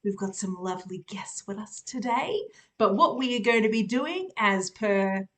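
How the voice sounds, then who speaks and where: A woman with an Australian accent hosting a casual live stream, chatty and quick to laugh, with lots of fillers. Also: medium pitch, lively intonation, fairly bright tone. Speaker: host.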